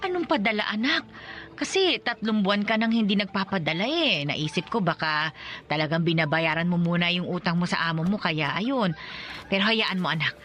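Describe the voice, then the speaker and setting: A woman's voice: fairly low pitch, expressive, fairly quick, a bit bright. A woman playing an older, loving rural Filipino mother in a radio drama, fussing and chatty in a Filipino accent. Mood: chatty, reassuring.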